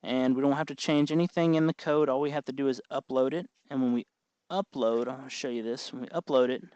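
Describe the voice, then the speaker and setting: A man hosting a hobby tutorial, explaining each step in an informal, folksy, unhurried way. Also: medium pitch, a little mellow, clean tone, dry recording, highs cut off. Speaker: host of a hobby electronics tutorial. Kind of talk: informal hands-on tutorial narration.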